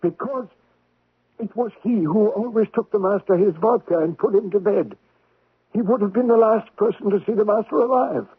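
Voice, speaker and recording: An actor playing a deferential, shifty household steward in a 1970s radio drama, obsequious and hesitant, with a raspy voice. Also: male voice, sits high, normal speed. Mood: insinuating. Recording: tinny vintage radio broadcast.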